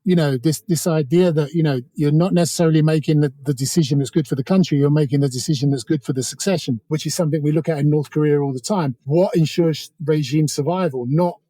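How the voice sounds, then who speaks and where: Higher-voiced man, mellow and discursive. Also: fast, hardly any pauses, no room sound. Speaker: interview guest, author. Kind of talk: casual political discussion.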